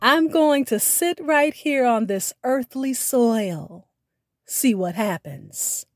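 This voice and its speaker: A woman podcast host reading a scripted sign-off in a deliberate, drawn-out, expressive way. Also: a little high. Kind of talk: scripted sign-off narration with spoken poetry. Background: music.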